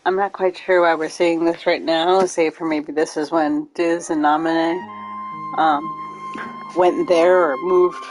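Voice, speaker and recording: A woman giving live game commentary in a slightly low voice. She explains slowly and haltingly, thinking aloud, with game music clearly playing behind her. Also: little pitch movement, low-quality recording. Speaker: game streamer.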